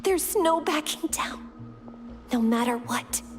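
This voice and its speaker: A woman's voice: high-pitched, lively intonation, slow pace, over music. A woman playing a brave, protective young warrior haunted by childhood fears in a dramatic video game. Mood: haunted, somber.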